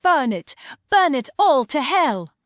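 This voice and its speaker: A woman's voice: high-pitched, big pitch swings, slow, no room sound, clean studio sound, heavily acted. A woman playing an imperious mother who owns a theatre, acted as in a script table read. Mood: furious. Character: imperious theatre-owner mother turned to grief-stricken rage.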